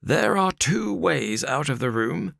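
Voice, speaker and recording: A man voicing a furious old farmer who shouts at his daughter's unwanted suitors. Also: a little high, animated, takes it slowly, gravelly, dry recording, studio quality, pitched above the performer's own voice, exaggerated voice. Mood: fierce. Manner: shouting, sardonic. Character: furious old male farmer, fierce father.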